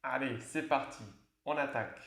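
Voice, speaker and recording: A man presenting an online French course, clearly explaining how an oral exam works. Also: medium pitch, steady tone, normal speed, a bit bright, echoey room. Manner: clear, instructive. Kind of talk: scripted exam tutorial narration.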